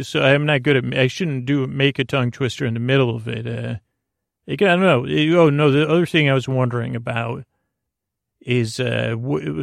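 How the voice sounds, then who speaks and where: A man hosting a sleep podcast in a meandering, halting monologue, whimsical and self-deprecating, stammering and circling back on his words. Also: medium pitch, creaky, no room sound, studio quality. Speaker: host of a bedtime story podcast.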